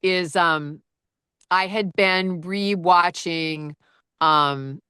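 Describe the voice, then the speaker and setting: A woman audiobook narrator with a low-register voice, chatting casually and rambling with lots of fillers and restarts. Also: drawn-out words, bright, forceful tone, smooth, no room sound, clean studio sound. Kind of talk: casual conversation.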